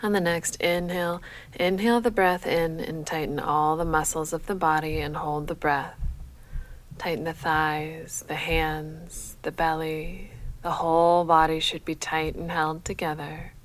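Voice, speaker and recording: A woman leading a guided sleep meditation as a yoga nidra guide, speaking in a measured, soothing, unhurried manner. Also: low voice, poor audio. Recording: faint steady background noise.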